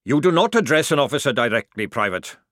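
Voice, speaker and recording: A man voicing a gruff, sardonic old army sergeant in an audiobook, with a barking military manner. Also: medium pitch, animated, moderate pace, a bit bright, no room sound, studio quality, pitched above the performer's own voice, lightly acted. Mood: snapping. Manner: barking, sarcastic military speech.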